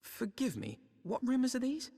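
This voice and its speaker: A man's voice: very high voice, wildly swooping pitch, moderate pace, dark tone, husky, heavily acted. A man playing a cautious, doubtful knightly retainer in a fantasy game, deferential and questioning in formal archaic speech. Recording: long hall reverb.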